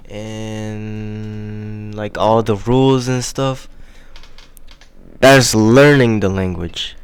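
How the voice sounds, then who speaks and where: Slow, rambling young man with a low voice. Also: clean tone, no room sound. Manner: halting, rambling, slangy, thinking aloud. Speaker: video host. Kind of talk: casual unscripted explainer vlog.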